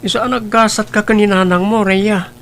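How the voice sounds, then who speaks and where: An actor playing a polite, wistful young student in an audio drama, with a plaintive way of talking. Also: male voice, sits high, steady tone, normal speed, fairly bright tone, a little hiss or hum. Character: wistful, polite teenage student nephew living with his aunt. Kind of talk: Ilocano audio drama acting.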